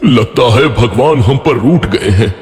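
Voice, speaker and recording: A simple, poor village father in a cartoon acted by a man. His medium-pitched voice carries a long, echoing reverb. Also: animated, unhurried, darkish tone, natural voice. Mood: resigned. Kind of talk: children's cartoon voice acting.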